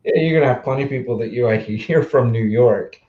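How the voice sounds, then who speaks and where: A man in his forties from the Mid-Atlantic US, hosting a casual livestream chat, easygoing and quick to laugh, with lots of fillers. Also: medium pitch, narrow, tinny audio, a little hiss or hum. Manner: chatty, laughs easily, trails off with fillers. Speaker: livestream host.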